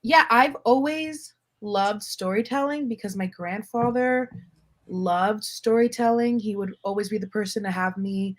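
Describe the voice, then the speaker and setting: Woman in her twenties from Miami, guest on a chat show. Animated, laughs easily, tells stories, mid-range voice. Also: medium pitch, measured pace. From Miami, Florida. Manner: animated, laughs often, storytelling. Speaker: guest filmmaker. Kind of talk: casual interview chat.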